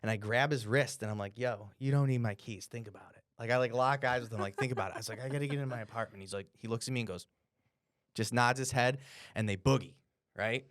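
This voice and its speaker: American male podcast co-host, mid-pitched, dry studio sound, loose and animated storyteller who swears freely. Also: fast talker, no room sound. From Cleveland, Ohio, United States. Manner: animated, profane, bro-like slang. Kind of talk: casual storytelling.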